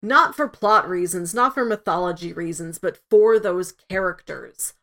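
A woman's voice: fairly low pitch, moderate pace, fairly bright tone. A woman co-hosting a casual review discussion, often acting out imagined quotes as she explains her thoughts. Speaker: podcast co-host reviewing an audio drama.

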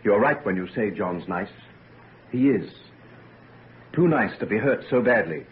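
Through a thin, hissy old radio recording comes an ardent young gentleman. He is earnest and remorseful yet sure of himself, with a lively, swooping delivery in vintage drama style. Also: fairly low pitch, unhurried. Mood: insistent. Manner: earnest, pleading, impassioned. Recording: noisy, narrow-band vintage radio recording.

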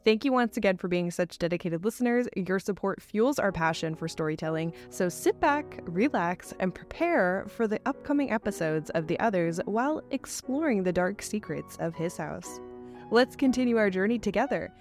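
A woman's voice: medium pitch, lively intonation, moderate pace, darkish tone, faint music behind. A woman hosting with a polished, effusive scripted announcement. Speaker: host and voice actor.

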